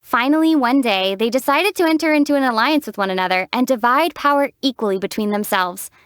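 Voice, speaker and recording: An actress voicing a calm, wry young female traveler hero in a video game, giving dry teasing explanations and sharp battle shouts. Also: a little high, a little fast, smooth, no echo. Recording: dry close studio voice.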